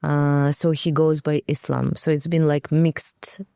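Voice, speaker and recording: A woman's voice: sits low, little pitch movement, unhurried, gravelly, mellow, smooth, no room sound, studio quality. A woman from Kazakhstan whose first language is Russian, speaking as an interview guest in a hesitant way, with many fillers as she searches for words. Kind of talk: conversational interview.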